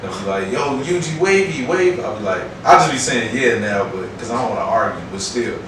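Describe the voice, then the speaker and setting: A man's voice: medium pitch, brisk. A man from Chicago chatting casually and slangily as a rapper guest, telling stories in a playful, joking way. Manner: playful, animated storytelling. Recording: distant, very echoey, noisy room.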